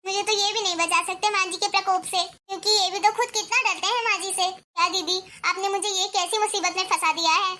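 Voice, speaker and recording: A woman's voice: very high voice, fairly even intonation, fast, few pauses, sharp tone. A woman acting an anxious young wife who frets and pleads in a family drama. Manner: fretful and pleading.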